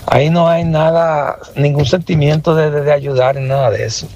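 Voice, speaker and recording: Gravelly caller, blunt. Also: male voice, on the high side, fairly even intonation, moderate pace, darkish tone, highs cut off, a little hiss or hum. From Dominican Republic. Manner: blunt, indignant opinions.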